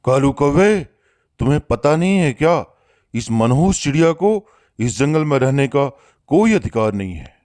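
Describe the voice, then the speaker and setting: A man's voice: medium pitch, very animated, moderate pace, a little mellow, no room sound, studio quality, lightly acted. A man voicing a threatening bully bird in a cartoon, accusing and domineering in manner. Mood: scornful. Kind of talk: Hindi moral-story cartoon acting.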